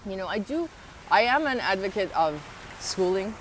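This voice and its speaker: A woman's voice: medium pitch, sing-song, moderate pace, poor audio. Earnest woman vlogging over rain noise. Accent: slight foreign accent. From abroad, moved to the United States at twelve. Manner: earnest, musing, frequent ums and rising emphatic questions. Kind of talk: casual opinion vlog to viewers.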